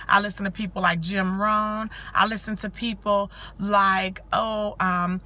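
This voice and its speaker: A woman in her forties hosting a casual motivational live stream, her voice hoarse and scratchy from cheering, chatting warmly and greeting viewers by name. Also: medium pitch. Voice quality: scratchy, growly. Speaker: live-stream host and coach for mothers.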